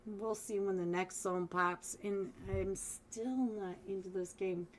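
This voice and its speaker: A woman casting a mobile esports match live as a solo caster, chatty and talking straight to viewers, with a voice she says is going hoarse. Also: mid-range voice, fairly even intonation, slow pace, a little hiss or hum. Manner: chatty, talks straight to viewers, frequent restarts. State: losing her voice. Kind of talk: live game commentary.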